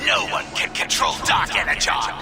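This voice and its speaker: An actor playing an arrogant, scornful robot air commander in a video game, with a haughty, theatrical, screechy delivery. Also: male voice, normal speed, lightly acted. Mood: outraged scorn. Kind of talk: video game cutscene acting. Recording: music behind the voice.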